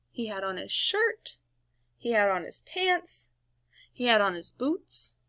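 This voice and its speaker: Female children's storybook reader: very lively intonation, slow pace, playful and expressive, close dry sound. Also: sits high, no echo. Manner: playful, emphatic sound words. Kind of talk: expressive read-aloud storytelling for young children.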